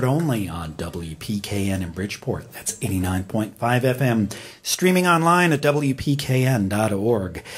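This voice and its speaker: A male radio host with a medium-pitched voice speaks on air. He delivers announcements and a fund drive appeal in a live broadcast style. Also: slightly rough.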